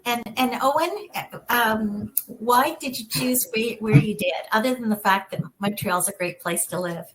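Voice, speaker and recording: A woman's voice: a little high, unhurried, a little hiss or hum, rough recording. A woman moderating a student panel over video call, encouraging and affirming. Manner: warm encouraging interviewer, frequent affirmations.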